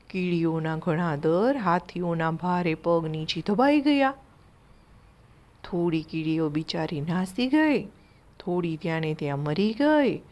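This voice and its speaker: Slow-paced woman telling a children's story. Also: on the low side, a little mellow. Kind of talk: narrating a children's moral story.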